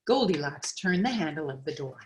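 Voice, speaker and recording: A woman reading a children's picture book aloud in an expressive, deliberate storytelling manner. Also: low-pitched, a little hiss or hum. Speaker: storybook reader.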